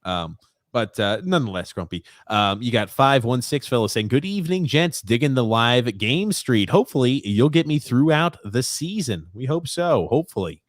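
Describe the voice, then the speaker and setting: A man's voice: medium pitch, moderate pace, dry recording. Dry-sounding man calling the game live. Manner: excitable, swearing, reads viewer chat. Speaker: podcast host. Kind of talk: live game watchalong commentary.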